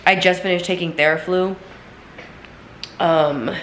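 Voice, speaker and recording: A young woman with a low voice chats to her viewers at home, sounding stuffy and congested after being sick. She rambles warmly and loosely, heavy on "like" and "you guys." The room is echoey and there is clear noise in the background. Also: fairly even intonation, measured pace. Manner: chatty, filler-heavy, talks straight to viewers. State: congested, recovering from illness. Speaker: vlogger. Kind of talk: casual chatty vlog. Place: home kitchen, then seated at home.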